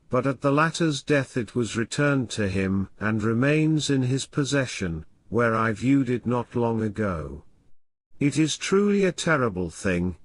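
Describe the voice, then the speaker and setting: A man reading a classic horror story aloud as scripted narration, in a formal, measured literary manner, letting his phrases fall at sentence ends. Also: medium pitch, no room sound. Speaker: audiobook reader.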